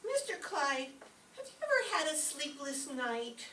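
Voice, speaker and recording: A woman's voice: high voice, big pitch swings, unhurried, sharp tone, exaggerated voice. A woman playing a naive, drowsy, dim-witted young secretary in an old-time radio comedy. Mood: earnest, drowsy. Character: ditzy, sleepy young female secretary, naive and earnest. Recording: roomy, distant stage recording with faint noise.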